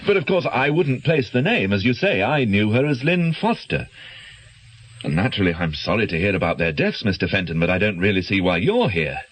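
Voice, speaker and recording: An anxious, evasive British doctor in a radio drama. His voice is low and somewhat husky, with lively intonation. He speaks formally and guardedly, hesitating as he goes. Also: brisk, rough recording. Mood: defensive, uneasy. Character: evasive, anxious male doctor with a gambling past.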